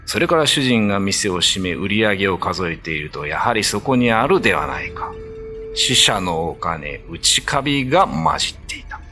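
A man's voice: on the low side, very animated, moderate pace. A man narrating a ghost story in a dramatic radio drama reading. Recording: music under the voice. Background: background music.

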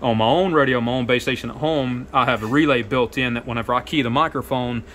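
A man's voice: medium pitch, fast talker, a bit bright, a little hiss or hum. A man giving an informal product walkthrough as a radio technician, explaining and rephrasing his points. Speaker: radio technician, shop owner. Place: indoor radio workshop with test equipment.

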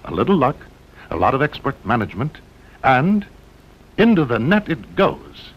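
A man's voice: huge pitch swings, moderate pace, husky. An American man narrating a 1960s promotional documentary film in a dramatic way, with punchy short phrases. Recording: muffled old film soundtrack with rumbling noise.

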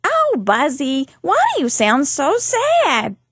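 A woman's voice: sits high, huge pitch swings, takes it slowly, fairly bright tone, dry recording, exaggerated voice. A woman voicing a perky, bossy little girl puppet, speaking singsong to children in a puppet show. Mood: concerned, scolding. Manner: singsong, addressing children directly.

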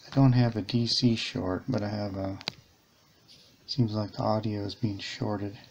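A man's voice: fairly low pitch, fairly even intonation, a little slow, creaky, a little mellow, a little hiss or hum. A man giving a casual hands-on repair demonstration at a workbench, thinking aloud a little hesitantly. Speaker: electronics repair hobbyist, host. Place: indoors at a workbench.

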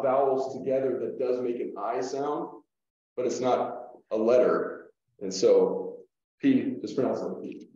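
A man teaching a Greek lesson at a whiteboard, explaining slowly with fillers and restarts, heard through a room mic on a video call. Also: medium pitch, warm tone, recorded from a distance. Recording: echoey room, dull lo-fi sound.

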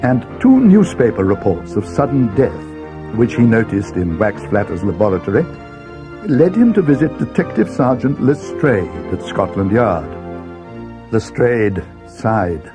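Man, mystery storyteller, schoolboy companion recounting adventures, animated pitch, music behind, scripted read-along. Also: unhurried. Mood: measured, grave. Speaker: narrator.

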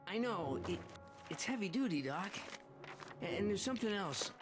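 A man playing an eager, easily startled teenage boy in an animated video game, youthful and exclamatory. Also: sits high, moderate pace. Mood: urgent. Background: loud music.

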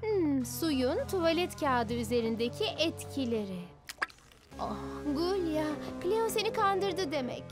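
An eager, naive teenage monster girl in a cartoon. Her voice is very high and chirpy, with loud music behind it. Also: very animated, a little slow. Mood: concerned. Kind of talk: Turkish cartoon dub.

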